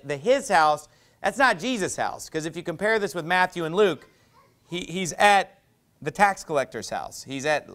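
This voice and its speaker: Animated preaching man. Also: a little high. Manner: animated, gesturing, conversational preaching with asides. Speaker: pastor. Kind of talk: sermon. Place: small church stage with painted backdrop, indoors. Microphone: lapel mic.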